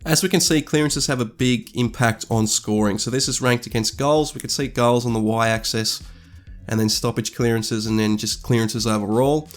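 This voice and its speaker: A man, stuffy from a cold, talking through football statistics in a measured, thoughtful way with fillers and restarts. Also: on the low side. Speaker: sports analyst. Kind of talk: scripted-ish stats commentary over slides.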